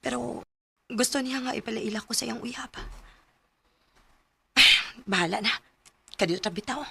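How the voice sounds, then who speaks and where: A woman's voice: on the high side, moderate pace, gravelly. An actress playing a guilt-ridden, self-sacrificing young woman in a Filipino radio drama, with a Cebuano accent and an earnest, confiding way of speaking. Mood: shy, hesitant. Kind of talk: Filipino radio drama acting with letter narration. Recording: music under the voice.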